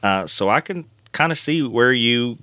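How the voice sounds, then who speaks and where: A man hosting a casual podcast, friendly and joking, his voice a bit hoarse from being under the weather. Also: mid-range voice, expressive, measured pace, sharp tone, no echo, studio quality. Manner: friendly, joking, rambling with fillers. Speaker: podcast host.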